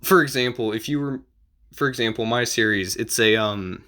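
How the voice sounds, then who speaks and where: A man giving casual advice as an amateur video creator, explaining in an informal, halting and repetitive way. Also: mid-range voice, sharp tone.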